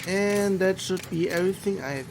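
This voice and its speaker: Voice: mid-range voice, moderate pace, noisy background. A game streamer giving casual, rambling, hesitant commentary while playing.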